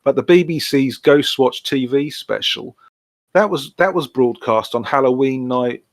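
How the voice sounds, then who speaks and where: A man's voice: mid-range voice, unhurried, no echo. Casual man in a dry recording. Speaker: podcast co-host. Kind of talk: casual chat.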